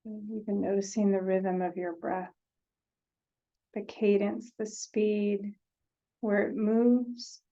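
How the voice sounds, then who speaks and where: A woman guides a meditation at a very slow pace, with long pauses between short phrases. Her voice is relaxed and mellow, and her manner is gentle. The recording sounds slightly dull and muffled. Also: mid-range voice, vocal fry.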